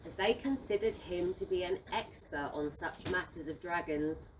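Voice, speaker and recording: A woman's voice: fairly low pitch, moderate pace, lightly acted. A woman narrating a children's storybook audio play. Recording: distant mic with background noise.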